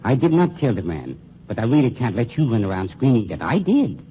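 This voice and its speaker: An actor playing a suave, sinister middle-aged funeral director, calm and menacing in 1940s radio acting style. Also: male voice, mid-range voice, a little fast, a little hiss or hum, lightly acted. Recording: muffled 1940s radio recording.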